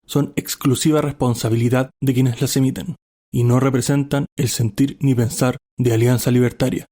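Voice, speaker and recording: A man who speaks with a flat, dry sarcasm, the show's director. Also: medium pitch, moderate pace. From Chile. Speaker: show director and panelist.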